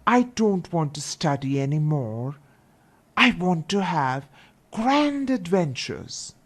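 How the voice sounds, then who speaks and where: Woman as a slow-talking naughty puppet boy. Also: low-pitched, very animated, exaggerated voice. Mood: bored, longing. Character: naughty wooden puppet boy, fibbing and restless.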